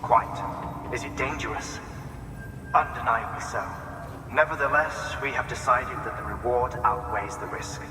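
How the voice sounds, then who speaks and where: An actor playing a composed, articulate man of science in a video game cutscene, speaking in a formal, deliberate way. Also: medium pitch, fairly even intonation, natural voice. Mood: measured, assured. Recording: roomy, distant, noisy, long reverb.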